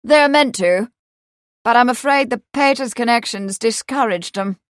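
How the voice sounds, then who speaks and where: A woman plays a brash young upper-class gentleman in a period audiobook. He is genial and emphatic, full of jaunty old-fashioned slang, in a dry, close recording. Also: on the high side, unhurried, no room sound, natural voice. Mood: emphatic, indignant.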